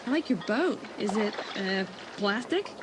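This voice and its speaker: A woman's voice: mid-range voice, animated, measured pace, noisy background, close to an everyday voice. An actress playing a forthright, breezy teenage girl, the heroine's friend, in a dramatised audiobook. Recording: music clearly behind the voice.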